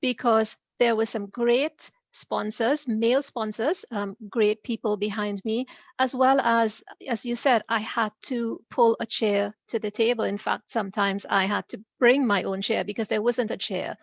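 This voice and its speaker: Trinidadian woman with a gravelly voice. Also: a little high, fairly even intonation, dry recording, muffled recording. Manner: measured, laughs often between points. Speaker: guest. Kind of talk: podcast interview.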